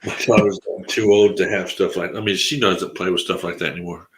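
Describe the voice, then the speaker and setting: A man's voice: low-pitched, fairly even intonation, a little fast, background noise. A congested man hosting a casual live stream, talking in a rambling, laid-back way with lots of fillers. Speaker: livestream host. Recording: roomy echo.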